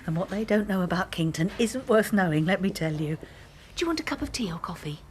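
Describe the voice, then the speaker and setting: An actress playing a talkative, prim and proud small-town historian with an English accent and quaint phrasing, in a radio drama. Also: female voice, medium pitch, expressive, fast talker, a little hiss or hum, natural voice. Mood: helpful, proud. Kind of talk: naturalistic radio drama acting.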